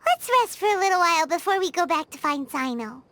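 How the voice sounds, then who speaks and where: A woman voicing a tiny, bubbly fairy girl companion in a game, who chatters excitably and talks about herself in the third person. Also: fast talker, slight background noise, heavily acted. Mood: chirpy. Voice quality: high, squeaky and excitable. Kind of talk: game cutscene voice acting.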